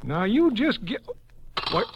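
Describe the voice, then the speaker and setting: An actor playing a gruff, stubborn American man who keeps a liquor store in a 1950s radio drama. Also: high-pitched, sing-song, slow pace, dull audio, full character voice. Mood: gruff, wary. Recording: noisy old radio recording.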